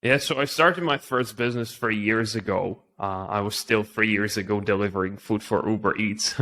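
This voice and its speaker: A man's voice: fairly low pitch, moderate pace, pushed and bright. A man from the Czech Republic with a Czech accent, speaking English as a second language as an interview guest telling his story conversationally.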